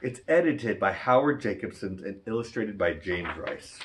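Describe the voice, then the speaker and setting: A man talking casually and gently as he introduces and comments on a storybook he is reading aloud. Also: fairly low pitch, animated, normal speed, a little hiss or hum. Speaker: storybook reader.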